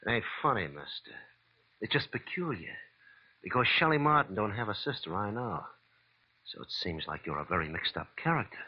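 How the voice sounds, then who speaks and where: Small-time armed thug in old radio noir: deep, surly, menacing, slangy, slightly muffled vintage sound. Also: male voice, big pitch swings, a little fast, husky. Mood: cold threat. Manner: tough, menacing, ungrammatical street slang. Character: small, nasty, long-haired gunman, surly and threatening. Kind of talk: 1940s radio crime acting.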